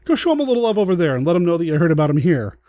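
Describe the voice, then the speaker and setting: A man's voice: fairly high pitch, sing-song, racing, dark tone, no room sound, clean studio sound. A man hosting a podcast, talking in a casual, chatty and conversational way. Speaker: podcast host.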